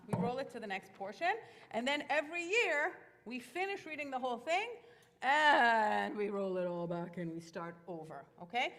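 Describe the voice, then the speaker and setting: A woman's voice: medium pitch, a bit bright. A woman from Israel, a Hebrew speaker, explaining things to a youth group in a chatty, informal way with plenty of fillers. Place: synagogue sanctuary. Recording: echoey hall.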